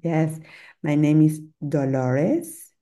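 Low, mellow-voiced Argentine woman. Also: takes it slowly. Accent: Argentine Spanish. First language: Spanish. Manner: hesitant, many fillers and restarts, laughs often. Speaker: podcast guest, translator. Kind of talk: casual interview chat.

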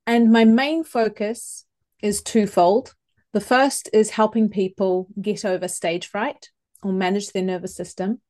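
A woman with a New Zealand accent speaks in an easy mid-range voice, choosing her words with care. She talks as a guest in a relaxed podcast conversation. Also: mid-range voice. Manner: thoughtful, measured, with small restarts. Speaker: communications coach, podcast guest. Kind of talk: conversational interview.

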